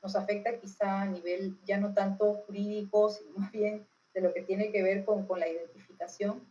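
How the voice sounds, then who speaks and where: Mellow-voiced Peruvian woman explaining. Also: mid-range voice, flat delivery, moderate pace, poor audio. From Peru. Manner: didactic, often checking understanding with tag questions. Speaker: political expert being interviewed. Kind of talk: informal explanatory interview answers.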